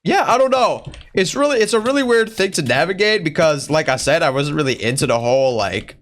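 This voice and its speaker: A man's voice: expressive, brisk. Strained, raised-voice man chatting casually. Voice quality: strained put-on higher voice, imitating another YouTuber. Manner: slangy bro-talk, rambling. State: voice sore from straining. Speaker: YouTuber answering viewer questions. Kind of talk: casual Q&A vlog chat in character.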